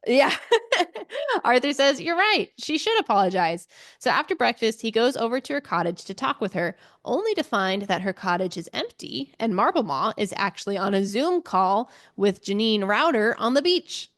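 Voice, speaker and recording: A woman's voice: fairly high pitch, sing-song, moderate pace, fairly bright tone. A woman co-hosting a book podcast, giving a casual, chatty recap with informal asides and a giggly streak. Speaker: podcast co-host.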